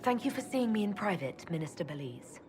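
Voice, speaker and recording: An actress playing a composed, diplomatic but firm leader in a video game, speaking in a measured, tactful way. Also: female voice, medium pitch, big pitch swings, darkish tone, slight background noise, natural voice. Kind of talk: video game cutscene acting.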